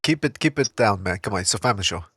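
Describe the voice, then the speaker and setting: A man's voice: fairly low pitch, expressive, rapid-fire, a bit bright, a little hiss or hum. A man hosting a call-in podcast, laid-back and joking as he takes calls and reads the chat. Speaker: podcast host. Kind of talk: casual call-in show hosting.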